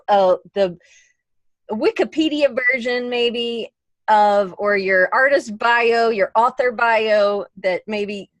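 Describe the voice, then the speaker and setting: A woman's voice: on the high side, no room sound. A woman hosting a podcast interview, asking questions in an informal, encouraging way. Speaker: podcast host.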